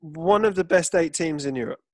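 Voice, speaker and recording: A man co-hosting a football podcast in casual banter, blunt, grumbling and quick to swear. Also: fairly high pitch, darkish tone, dry recording, studio quality.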